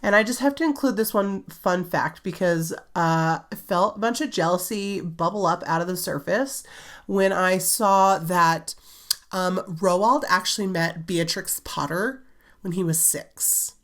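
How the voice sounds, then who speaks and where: A woman's voice: fairly low pitch, measured pace, a bit bright. Chatty, giggly woman with slight congestion. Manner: chatty, giggly, many "like" fillers. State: slightly congested, recovering from a cold. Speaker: children's book author and channel host. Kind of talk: casual storytelling vlog.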